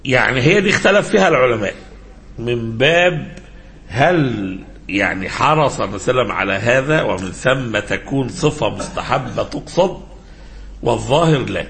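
Egyptian male religious lecturer, mid-pitched gravelly voice, halting question-led teaching, faint background noise. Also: lively intonation, a bit bright. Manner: halting, didactic, prompts listeners with questions. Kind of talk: informal religious lecture mixing classical and colloquial speech.

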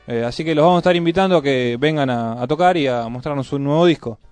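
High-voiced Argentine radio man, dry sound. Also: quick pace, a little mellow, no echo. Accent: Buenos Aires Argentine. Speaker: radio co-host. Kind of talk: casual community radio talk and mail reading. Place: radio studio. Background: music.

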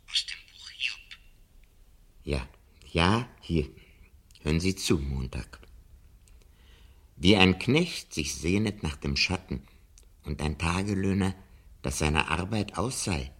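A low-voiced, sly old professor in a vintage German radio drama. His delivery swings widely in pitch, from fervent declaiming to breathy, conspiratorial whispers. He sounds like a theatrical, secretive mentor. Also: fairly bright tone, heavily acted. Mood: hushed, solemn. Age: older. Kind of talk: 1970 German radio play acting.

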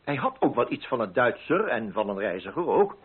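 A pompous, middle-aged Dutch coffee broker in a radio drama, with a Netherlands accent. His fussy, emphatic delivery rises and falls in very animated pitch. The voice is somewhat rough, on a muffled, band-limited recording. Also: a little fast. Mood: self-important, narrating. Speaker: narrating lead character.

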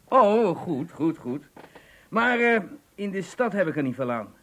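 An actor in a radio play playing a jovial, chatty travelling businessman with a husky voice and a conversational manner. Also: high voice, very animated, unhurried, fairly bright tone, heavily acted, rough recording. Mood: jovial, proud. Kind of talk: radio drama dialogue.

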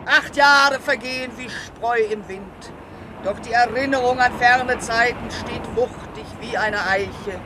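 An actress playing a bossy, gruff female whaling captain with a pompously poetic streak in a satirical radio play. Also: a little high, measured pace, noisy background, lightly acted. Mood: solemn, sentimental.

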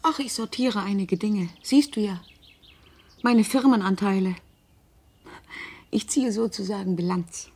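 A middle-aged mother in a radio play. Her voice is soft-edged and dark, with some huskiness. She speaks earnestly and confidingly, weighing her words and leaning on the important ones. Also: mid-range voice, a little slow, long pauses, slightly rough. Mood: confiding, hesitant. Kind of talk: naturalistic German radio drama acting.

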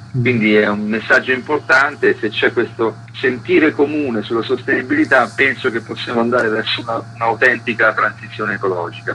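A man's voice: medium pitch, bright, forceful tone, slight background noise, rough recording. A man explaining things as an interview guest on the radio. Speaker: festival curator, interview guest.

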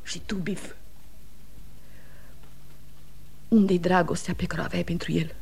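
A woman's voice: on the low side, fairly even intonation, vocal fry, darkish tone, husky, heavily acted. An actress playing a weary, fiercely loyal aging wife and mother, pleading and reproachful, in 1960s radio theatre style. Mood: quiet sorrow.

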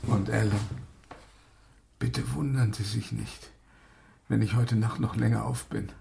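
An actor playing a courteous, secretive gentleman lodger in a radio drama, speaking formally and with measured politeness. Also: fairly low pitch, fairly even intonation, gravelly. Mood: polite, restrained. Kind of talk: German radio drama acting.

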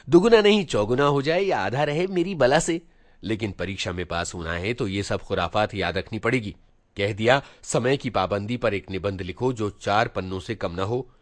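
Man performing a self-important schoolboy elder brother, preachy, scolding, rhetorical questions, very lively intonation, storybook monologue, dry recording. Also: medium pitch, no echo, lightly acted. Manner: pompous lecturing with rhetorical questions.

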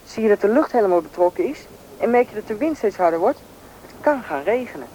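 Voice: medium pitch, big pitch swings, quick pace, warm tone, lightly acted. A performer playing a bossy, knowing country boy, confident and impatient, in a radio drama. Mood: urgent. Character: bossy, knowing boy who grew up in the taiga. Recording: noisy old radio play recording.

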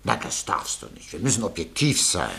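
A man's voice: low voice, huge pitch swings, a little fast, gravelly, a bit bright, exaggerated voice. A man playing a breezy, bossy, self-serving newspaper director in a German radio play.

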